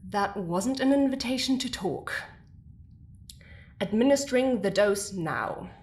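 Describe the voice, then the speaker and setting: A woman's voice: medium pitch, lively intonation, a little slow, darkish tone. A woman playing a stern older scientist in an audio drama, formal and curt as if logging an experiment. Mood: clinical, stern. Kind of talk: audio drama acting as recorded lab log.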